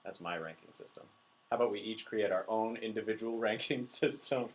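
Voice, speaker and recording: A young man co-hosting a casual book podcast, playful and quick to laugh at his own jokes. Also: on the low side, very noisy. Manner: playful, laughs often, quick joking asides. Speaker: podcast co-host. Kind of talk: casual chat.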